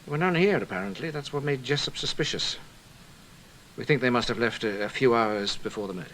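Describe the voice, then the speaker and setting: A man's voice: mid-range voice, a little fast, fairly bright tone, lightly acted, low-quality recording. Deferential investigator, acted by a man, faint noise. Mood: polite, efficient. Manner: polite, factual reporting. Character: deferential, matter-of-fact male British security investigator. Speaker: subordinate colleague reporting. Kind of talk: radio drama acting.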